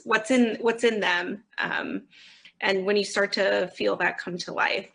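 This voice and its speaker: Bright, creaky-voiced woman explaining earnestly. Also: mid-range voice. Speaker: audio content executive interviewed on a panel. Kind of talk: conversational panel interview.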